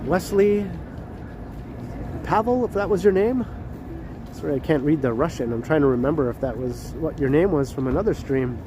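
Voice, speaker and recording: A man's voice: on the high side, expressive, normal speed, pauses often. A man with a stuffy nose from a cold, chatting and greeting viewers by name while he walks a city street on a livestream. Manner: chatty, laughs often, greets chat readers by name. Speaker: livestream host. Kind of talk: casual walking livestream chat. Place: city street outdoors at night, with traffic. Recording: outdoor street noise.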